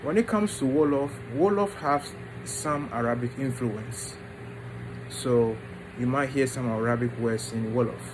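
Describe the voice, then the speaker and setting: A man tells his personal story informally, in short halting phrases with pauses. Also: mid-range voice, background noise. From Ghana and the United States. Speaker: vlogger introducing his book.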